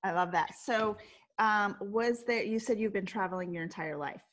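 A woman's voice: mid-range voice, moderate pace, a bit bright. A woman hosting a podcast, upbeat and enthusiastic as she welcomes and questions her guest. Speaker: podcast host. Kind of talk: podcast interview.

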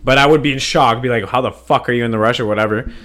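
Man, casual podcast co-host, mid-range voice, profane and animated storytelling. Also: medium pitch, rapid-fire. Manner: animated, swears a lot, acts out scenes in loud put-on voices. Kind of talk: casual chat, hypothetical storytelling.

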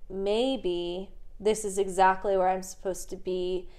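A woman in her twenties co-hosting a podcast, talking in a chatty, animated way and swearing casually. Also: medium pitch, takes it slowly. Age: 20s. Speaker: podcast co-host. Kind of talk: casual chat.

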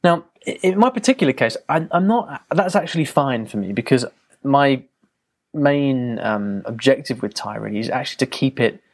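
A man's voice: medium pitch, animated, normal speed. A man hosting a podcast, talking on his own in a reflective, loose way with frequent fillers and restarts. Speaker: podcast host. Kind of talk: casual solo podcast monologue.

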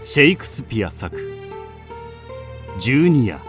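A man narrating a scripted radio drama in a formal, expressive storytelling manner. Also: mid-range voice, slow pace, over loud music.

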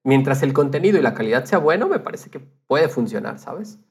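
A man's voice: mid-range voice, big pitch swings, a little fast, darkish tone. Man chatting casually as a podcast guest. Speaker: podcast guest, audio producer.

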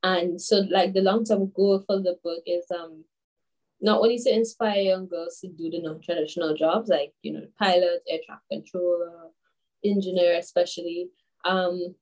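A woman in her twenties from Grenada, a guest telling stories in a casual interview, chatty and animated with many fillers. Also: fairly low pitch, moderate pace, low-quality recording. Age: 20s. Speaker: guest, children's author.

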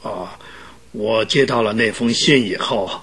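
An older man acting in a radio drama as a stooped, ailing old landowner, with a gruff, halting, courteous delivery. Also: on the low side, a bit bright, heavily acted. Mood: hesitant, polite. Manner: halting, hesitant.

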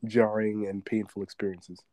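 A man's voice: lowish voice, little pitch movement, normal speed, dark tone, no room sound. A young man co-hosting a film podcast, talking casually and at length, with a sore throat he is getting over. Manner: rambling, full of "like" and restarts, laughs often. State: recovering from a sore throat, occasional coughing. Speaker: podcast co-host. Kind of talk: casual chat.

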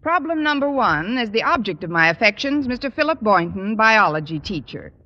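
A woman playing a dry-witted schoolteacher who narrates and trades deadpan wisecracks in a 1950s radio sitcom. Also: medium pitch, moderate pace. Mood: wry. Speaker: English teacher and narrator. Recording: dull old radio broadcast recording.

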